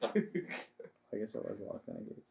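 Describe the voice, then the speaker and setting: A man's voice: normal speed, roomy sound. A man chatting casually among friends in a loose, informal way. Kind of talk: casual group chat. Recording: group chatter in background.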